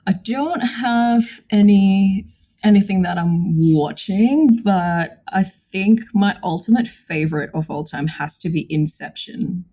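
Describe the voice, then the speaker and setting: A woman's voice: mid-range voice, takes it slowly, warm tone. A woman in her thirties from Indonesia, chatting casually as an interview guest. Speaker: podcast guest, psychologist.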